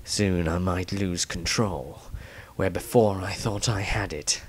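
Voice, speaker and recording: A man voicing a brooding, sinister fantasy diarist, deliberate, scheming and disdainful, in a dramatic story reading. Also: low voice, slight background noise. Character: brooding sinister male diarist losing control to dark entity. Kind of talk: dramatic fantasy diary narration.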